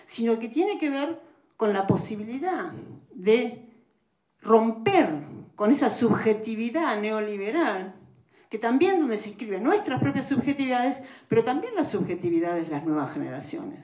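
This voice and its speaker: A woman's voice: fairly high pitch, very animated, normal speed, darkish tone. A woman giving an academic public lecture, reflective, often adding little tag questions. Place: lecture hall. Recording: echoey hall recording.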